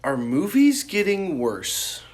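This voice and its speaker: Higher-pitched, animated man swearing casually. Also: takes it slowly. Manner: profane, opinionated. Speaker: podcast co-host. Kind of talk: casual movie discussion among friends.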